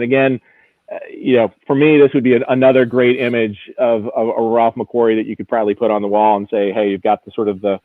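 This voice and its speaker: Man, auction executive giving a casual live preview; thin phone-like sound, very dry, keen but halting. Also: on the low side, dry recording. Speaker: auction house executive presenting lots.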